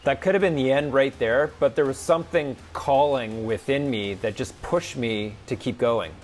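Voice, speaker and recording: A man, an ultramarathon runner, speaking in a reflective, measured way in a documentary interview. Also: mid-range voice. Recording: music bed under the voice.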